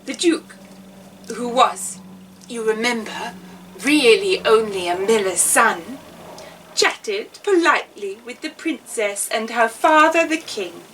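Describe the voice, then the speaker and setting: A woman reading a children's fairy tale as a storyteller witch, in a theatrical, measured way. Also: on the high side, animated, fairly bright tone, far from the mic, a little hiss or hum, low-quality recording. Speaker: storyteller playing an amusing witch.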